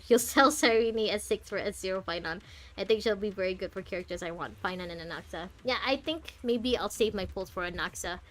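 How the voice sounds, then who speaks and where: A woman's voice: mid-range voice, fairly bright tone. A woman streaming a game, chatting casually and expressively as she thinks aloud and answers viewers. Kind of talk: casual livestream chat, reading out chat messages and game text.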